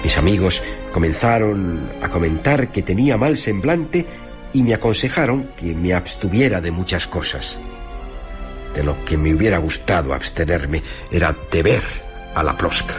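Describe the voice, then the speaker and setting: Low-voiced man narrating dramatically over music. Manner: measured, theatrical storytelling with deliberate pauses. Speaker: narrator reading a short story. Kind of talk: dramatic literary radio narration in first person. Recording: music under the voice.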